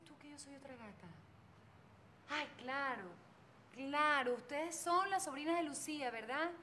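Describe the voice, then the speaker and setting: An actress playing a lively, bold, motherly middle-aged woman neighbor who talks in a theatrical way. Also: high voice, measured pace, a little hiss or hum. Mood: chatty. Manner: bossy and soothing by turns, theatrical. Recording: music playing behind.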